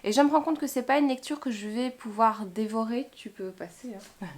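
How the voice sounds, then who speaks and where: A woman's voice: fairly high pitch, brisk, a little mellow. A woman book vlogger from Belgium chatting casually to camera in a self-deprecating way.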